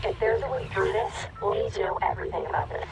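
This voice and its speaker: An actress playing an earnest, grave resistance operative sending an urgent radio transmission in a video game. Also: female voice, medium pitch, fast talker. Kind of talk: scripted video game voice acting. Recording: noisy, distant radio transmission.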